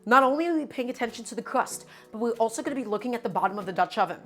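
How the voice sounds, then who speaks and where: A woman's voice: fairly high pitch, lively intonation, rapid-fire, a bit bright, soft background music. Chatty woman teaching cooking on stream. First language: Russian. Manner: chatty, instructive, often says "my friends". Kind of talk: live cooking demonstration. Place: home kitchen.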